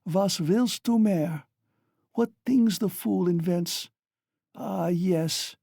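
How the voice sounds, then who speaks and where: Dying delirious mother, hoarse, shrill, dry close sound; reader doing her. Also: mellow, dry recording, full character voice. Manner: shrieking, frantic, wailing. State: hoarse, gasping.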